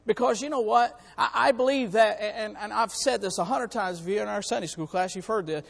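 A hoarse man on church staff preaching a sermon to his congregation, in a folksy way that calls out to them. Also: high voice, fairly quick, in a large hall. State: hoarse, has lost part of his voice. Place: church sanctuary.

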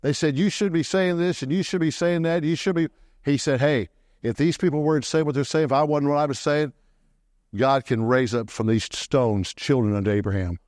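A man preaching a sermon into a microphone in a church, animated, often swinging his voice up high to stress a point. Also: normal speed, no echo, clean studio sound.

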